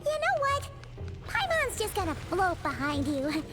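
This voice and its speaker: A woman voicing a tiny, excitable floating fairy companion in a video game, with a squeaky, childlike voice. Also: a little slow, over music, heavily acted. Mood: excited, cheering.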